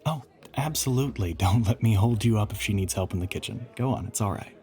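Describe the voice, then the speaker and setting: A man's voice: quick pace, a little mellow, a little hiss or hum, natural voice. A man playing an ancient, suave male vampire in an intimate audio roleplay, teasing and smug, with formal, theatrical phrasing. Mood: polite charm. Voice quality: low, breathy half-whisper.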